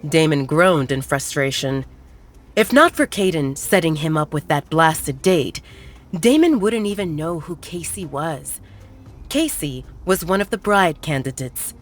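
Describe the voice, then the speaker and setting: A woman narrating a romance audiobook at a steady storytelling pace. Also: low-pitched, unhurried. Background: soft music.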